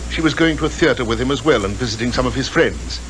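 A slightly noisy 1950s radio play recording of a man acting a worried British father of high rank. He speaks in a formal, reserved upper-class accent at a medium pitch, with some hesitation. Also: fast talker, lightly acted. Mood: earnest, concerned.

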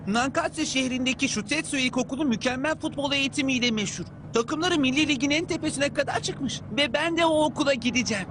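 A woman voicing an eager, excitable, football-mad young schoolboy in a cartoon. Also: high-pitched, fast, hardly any pauses, a little hiss or hum. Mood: excited, determined.

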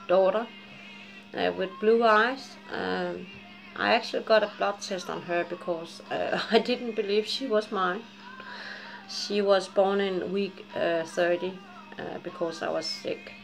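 Woman, 40s, Japanese and Danish accent, gravelly, unhurried, candid and swearing, webcam vlog at home. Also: medium pitch, fairly even intonation, faint music behind, poor audio. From Japan and Denmark. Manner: rambling, candid, curses, laughs often. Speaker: vlogger. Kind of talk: casual chat to camera. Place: dim living room at home.

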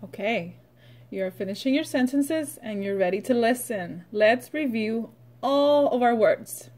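Slow-paced woman teaching young children. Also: fairly high pitch, lively intonation, a little mellow. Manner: instructional, short simple phrases with pauses. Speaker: preschool teacher. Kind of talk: children's read-aloud and vocabulary drill.

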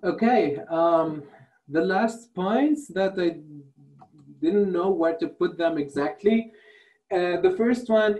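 A man's voice: high-pitched, dark tone, poor audio. A man in his thirties, not a native English speaker, giving an online workshop talk over slides with many fillers and restarts, on a webcam in a small room.

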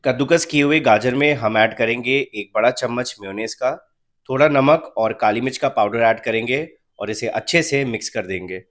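A young man narrates a cooking video in a calm, even, instructional manner. His voice sits at an ordinary middle pitch, and he explains each step plainly for viewers following along at home. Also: normal speed. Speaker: cooking channel host. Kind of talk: recipe voice-over narration.

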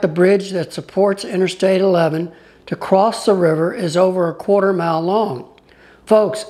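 A travel vlogger narrating a scripted voice-over in an informative, even-paced way. The voice sits at a middle pitch and speaks straight to the viewers as folks. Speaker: travel vlogger narrating his own tour.

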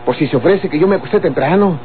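A man's voice: fairly high pitch, quick pace, natural voice. Man playing a lying young Mexican bandit, tinny old radio. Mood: pleading, scheming. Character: young male bandit and murderer, wheedling, lying, hot-tempered. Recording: muffled old radio recording with mains hum.

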